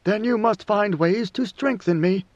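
A man voicing a grave, ancient god-emperor in a storybook reading, with slow, solemn, oracular pronouncements. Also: high-pitched, dry recording, highs cut off, voice pushed up. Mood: firm, measured.

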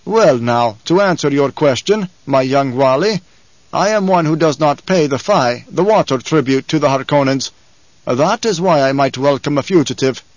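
A man's voice: on the high side, animated, no echo, lo-fi sound, lightly acted. A man playing a commanding, stern desert chieftain. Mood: wary, probing. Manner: formal, measured, authoritative. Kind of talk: audiobook character acting.

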